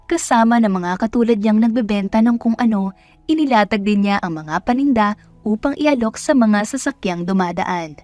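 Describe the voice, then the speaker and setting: A woman's voice: medium pitch, moderate pace, darkish tone, no room sound, soft background music. Dry-sounding Filipino woman narrating a story.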